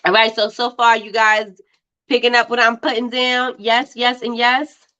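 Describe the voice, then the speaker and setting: A woman's voice: fairly high pitch, flat delivery, normal speed, bright, forceful tone, clean tone. A woman with a stuffy, congested voice hosting a casual livestream, chatting with viewers, laughing often and repeating herself. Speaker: livestream host. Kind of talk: casual live-stream chat reading viewer comments. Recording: slightly dull lo-fi livestream audio.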